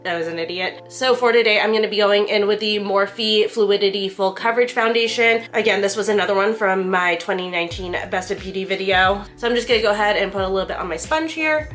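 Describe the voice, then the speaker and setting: A woman's voice: medium pitch, fast, few pauses, a bit bright. A young woman talks through a makeup review in a loose, chatty way, leaning on "like" as she goes. Her voice is stuffy and nasal from a cold, and faint music plays behind her. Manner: chatty, lots of "like" fillers. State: congested and nasal from the flu. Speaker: beauty vlogger. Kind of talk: casual product review.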